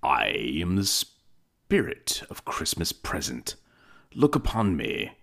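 A man voicing a booming, commanding Christmas spirit. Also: huge pitch swings, slow pace, gravelly, sharp tone, heavily acted.

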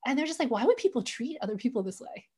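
A woman's voice: a little high, very animated, quick pace, a little mellow, husky. A woman in her forties, reflecting earnestly on growing up, often saying "you know" as a guest in an interview. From United States. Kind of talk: reflective personal storytelling.

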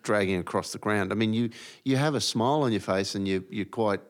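A man's voice: lowish voice, brisk. A man co-hosting a casual interview, teasing the guest and reminiscing in a chatty way. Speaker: podcast co-host.

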